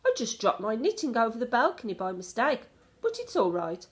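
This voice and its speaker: A woman's voice: a little high, very animated, fairly quick, voice pushed up. A woman reading a children's storybook, voicing a loving, cool-headed old grandmother with a chatty, affectionate manner. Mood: breezy, composed.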